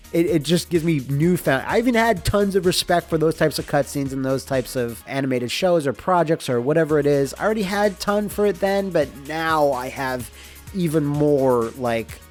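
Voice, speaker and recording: A man narrates a behind-the-scenes explainer in a casual, conversational voice at an average pitch. He sounds like a developer talking informally through his own process, with music playing underneath.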